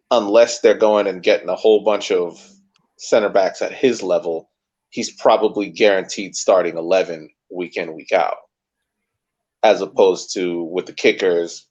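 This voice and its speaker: A man co-hosting a sports podcast, talking casually and thinking aloud in measured, careful points. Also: deep voice, darkish tone, husky. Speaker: podcast co-host. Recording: dull lo-fi podcast audio.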